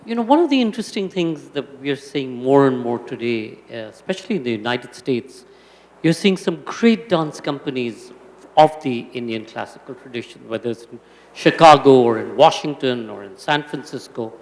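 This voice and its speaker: A man interviewing a guest on stage, asking measured, thoughtful questions. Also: medium pitch, lively intonation, long reverb tail. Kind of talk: stage conversation.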